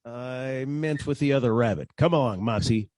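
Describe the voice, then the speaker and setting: An actor playing a wry, hard-boiled private detective in a 1940s-style radio caper, with a sardonic delivery. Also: male voice, mid-range voice, normal speed, a little mellow, no echo, studio quality, close to an everyday voice. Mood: brisk, questioning.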